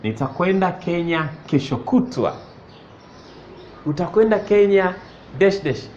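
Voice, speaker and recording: A man walks a class through a lesson, moving about as he writes on a whiteboard. He speaks slowly and repeats every phrase, his fairly high voice rising and falling a great deal. The classroom sound is dull and lo-fi, picked up by a camera mic from mid distance. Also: animated, darkish tone, a little hiss or hum. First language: Swahili. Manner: slow, deliberate, repeats each phrase; moves around while writing on the whiteboard. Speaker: Swahili teacher. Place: indoor classroom.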